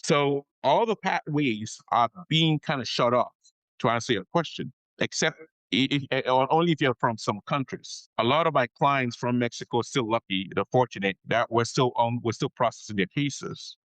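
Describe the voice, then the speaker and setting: A man's voice: mid-range voice, fairly bright tone, dry recording, poor audio. A man being interviewed on a podcast as an immigration attorney, explaining things patiently, repeating his key advice and often checking in with "okay?".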